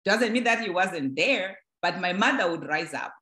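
A woman's voice: lowish voice, lively intonation, moderate pace, pushed and bright. A woman moderating a church discussion, speaking reflectively in a conversational, teaching way. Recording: echoey room.

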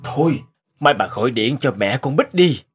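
A man playing a good-hearted, worried elderly grandfather in a cartoon, speaking earnestly and haltingly. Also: medium pitch, expressive, breathy edge. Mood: worried, urgent. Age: older. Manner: halting, earnest, swooping intonation. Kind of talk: cartoon dialogue acting.

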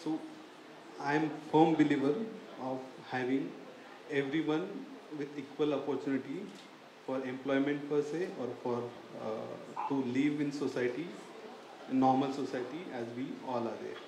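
A man speaking as a panelist, formal and halting with many pauses, into a handheld mic. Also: mid-range voice, fairly even intonation, a little mellow. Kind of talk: panel discussion. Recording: echoey room, faint noise.

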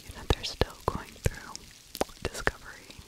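A middle-aged woman narrates in a soft, breathy whisper with no voiced tone. She sits right up on the microphone in ASMR fashion. Her delivery is conversational and opinionated, like someone sharing her own take on a story. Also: moderate pace, low-quality recording. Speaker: true crime ASMR host, narrator. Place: small indoor room. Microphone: close microphone with furry windscreen.

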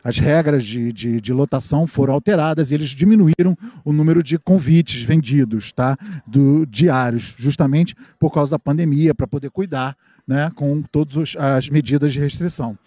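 A middle-aged man with a Brazilian accent chats upbeat and fast on a live stream, strolling through an outdoor fair at night. His voice sits a bit high, and the sound is dry and close, from a handheld mic. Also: dark tone, dry recording. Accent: Brazilian Portuguese. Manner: chatty, upbeat, reads viewer comments aloud, frequent "tá?" and "né?". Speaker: live-stream host and reporter. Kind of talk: live walking tour commentary. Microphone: handheld microphone.

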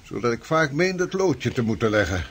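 A hearty, canny old-world clergyman of the sea, in a radio play. His husky voice swings widely in pitch as he delivers pompous, antique phrases. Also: sing-song, a little fast. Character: hearty, canny male Flemish minister and seafarer. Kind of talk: period radio drama acting.

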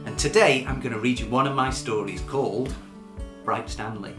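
A man, reading a children's storybook aloud with dramatic, animated expression. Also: medium pitch, normal speed, roomy sound, music in the background. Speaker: children's author reading his own book. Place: furnished living room, indoors.

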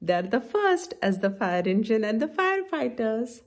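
A middle-aged woman hosting a children's story time, reading a picture book aloud in an instructive, expressive way and asking the young listeners questions. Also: a little high, normal speed. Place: indoor room at home, by a window.

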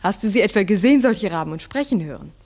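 Strict schoolteacher, 1960s radio drama: measured, precise, medium pitch, lightly husky. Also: female voice, lively intonation, a little mellow. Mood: probing, gently stern. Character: earnest, strict village schoolteacher, a single woman. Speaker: actor and first-person narrator. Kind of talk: 1960s German radio drama acting and narration.

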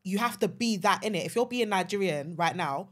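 A woman's voice: medium pitch, expressive, fairly quick. A young woman, a podcast host making her point in an emphatic, opinionated way. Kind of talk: casual chat. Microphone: studio mic on boom arm, headphones.

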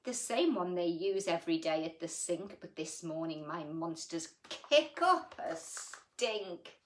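A woman acts out a put-upon storybook mother worn down by her unruly little ones. The rhymes come slowly and rhythmically, with pitch that rises and falls widely. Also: slight background noise. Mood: exasperated. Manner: measured, expressive, rhythmic. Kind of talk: rhyming picture-book narration.

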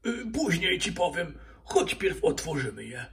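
A man's voice: high voice, very animated, measured pace, creaky, fairly bright tone, recorded from a distance, voice pushed up, exaggerated voice. A man voicing a cheerful but wary daddy pig in a children's toy puppet story, speaking hesitantly. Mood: gentle, cheerful.

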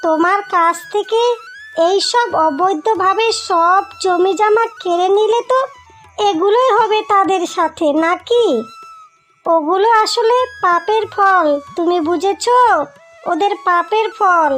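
Woman playing a spiteful young wife, sneering and bossy, very high, bright voice. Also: takes it slowly, faint music behind, full character voice.

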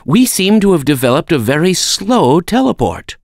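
Fussy absent-minded old scientist for a video game, voice swings all over, close dry sound. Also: male voice, fairly high pitch, very animated, normal speed, darkish tone, no room sound. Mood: earnest, genial. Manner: fussy, precise, old-fashioned exclamations. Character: kindly, absent-minded older male scientist, excitable and fussy. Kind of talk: video game character acting.

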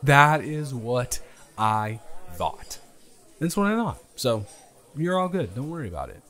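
A young man played by a male performer chats to his date in a casual romantic audio roleplay. His voice sits at a medium pitch, and he is teasing and easily flustered, often tripping over his words in mock indignation. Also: big pitch swings, unhurried, fairly bright tone. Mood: teasing suspicion.

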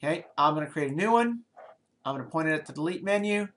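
A man's voice: on the high side, expressive, fast, a bit bright, a little hiss or hum. A middle-aged man in a small office, recorded on a desk webcam, walking through code in a screencast programming tutorial and thinking aloud with fillers and restarts. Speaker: programming lecturer.

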